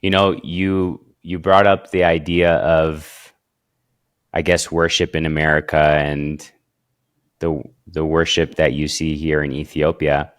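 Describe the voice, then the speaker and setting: A man with a very low voice hosts a podcast interview. He asks friendly questions in a halting way and often repeats words as he searches for the phrasing. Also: little pitch movement, smooth. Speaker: podcast host. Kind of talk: casual interview.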